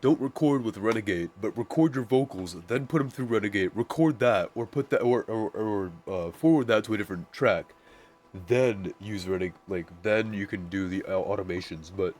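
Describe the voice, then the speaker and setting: A man with a naturally deep voice, casually walking through his music production setup, rambling with lots of "like" and restarts. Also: normal speed, a little mellow. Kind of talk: casual screen-share tutorial.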